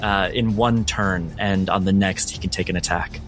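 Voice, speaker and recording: A low-pitched man runs a tabletop game as game master. He describes the scene with theatrical emphasis and dramatic delivery, with music playing clearly behind him. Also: steady tone, moderate pace, a bit bright.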